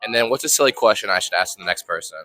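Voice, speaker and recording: A man's voice: on the low side, expressive, quick pace, pushed and bright. A teenage boy reporter asking people silly questions in a casual, cheerful way. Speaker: student reporter. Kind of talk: man-on-the-street interviews.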